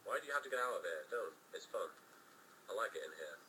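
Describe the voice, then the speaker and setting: A man's voice: fairly low pitch, lively intonation, quick pace, pushed and bright, far from the mic, a little hiss or hum, rough recording. A man giving a comedic dramatic reading of fan fiction, theatrical in delivery, with wry joking asides.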